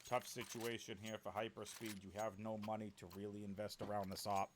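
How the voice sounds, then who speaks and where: A man casting an esports match solo, with a deep voice, calling the plays and chatting with viewers, and excitable enough to build to shouts on big plays. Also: fairly quick, background noise. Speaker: esports caster. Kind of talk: solo play-by-play esports commentary.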